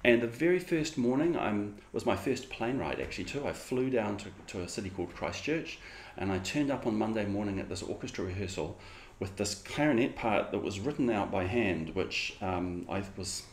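A middle-aged man with a New Zealand accent and a fairly low voice, lecturing informally. He talks conversationally and haltingly, circling back to fix his phrasing. The sound is roomy and echoing, as if in a small room at home. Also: expressive. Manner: conversational, halting, self-correcting. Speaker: clarinetist giving a lecture. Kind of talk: informal lecture with personal anecdotes.

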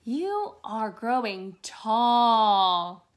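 A woman reading a children's picture book aloud in an exaggerated, expressive way, as a storybook reader for young children. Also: fairly high pitch, extremely slow. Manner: exaggerated, expressive delivery with character voices.

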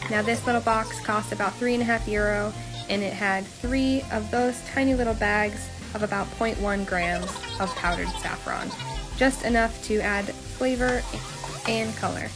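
A young woman hosting her own home cooking show, talking through each step in a relaxed, chatty way with small asides. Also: mid-range voice, normal speed, over music. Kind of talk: casual cooking voice-over. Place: home kitchen.

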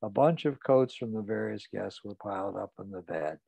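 A man's voice: on the low side, gravelly, dark tone, slightly rough, dry recording, pitched below the performer's own voice. A man reading an audiobook, voicing a frightened, ashamed man who speaks in a muted voice. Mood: shamed. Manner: muted, halting, confessional. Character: ashamed, frightened middle-aged man confessing.

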